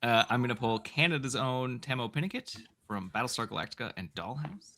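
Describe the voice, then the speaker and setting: A man's voice: mid-range voice, expressive, a little fast, pushed and bright. A man co-hosting a film podcast, chatting casually and telling stories. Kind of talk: casual chat.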